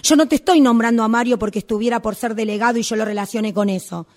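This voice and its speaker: A woman acting an insistent, high-voiced Argentine employee. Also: fast talker, a little mellow, natural voice. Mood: indignant. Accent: Rioplatense Argentine Spanish. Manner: confrontational, pressing with questions. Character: insistent, indignant woman employee confronting her boss. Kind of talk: amateur radio theatre acting.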